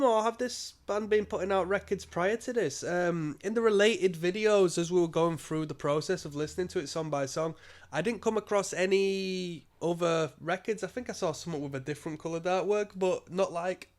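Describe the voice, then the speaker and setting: Guy with a cold, bunged up, kinda high voice, rambly music review. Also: fairly quick. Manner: rambling, many ums and restarts, occasional coughs. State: stuffy nose from a cold. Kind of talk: casual album review.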